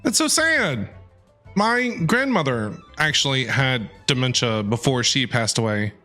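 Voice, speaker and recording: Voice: medium pitch, very animated, unhurried, bright, forceful tone, soft background music. A streamer giving casual, chatty live reactions to a video game, talking back to the characters aloud.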